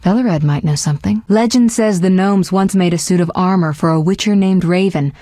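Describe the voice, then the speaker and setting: Woman acting a worldly sorceress, video game character, slightly low voice, articulate, poised, teasing edge, very dry studio sound. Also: brisk, dark tone, dry recording, lightly acted. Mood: earnest, informative. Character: self-assured, sly sorceress, worldly and sharp-tongued. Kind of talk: scripted video game dialogue.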